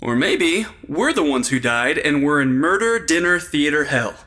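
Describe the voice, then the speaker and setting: A man's voice: a little high, lively intonation, normal speed, sharp tone. A man playing a sarcastic, exasperated sidekick in a comedy audio drama. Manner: wisecracking, sarcastic.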